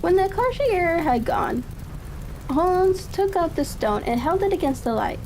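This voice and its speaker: Female narrator, soft-toned and high, tranquil ASMR storybook reading, slightly halting, faint crackling ambience behind. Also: big pitch swings. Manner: unhurried, halting, stumbles and corrects words. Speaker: audiobook reader. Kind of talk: calm ASMR-style reading of a classic mystery story. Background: fireplace crackle ambience.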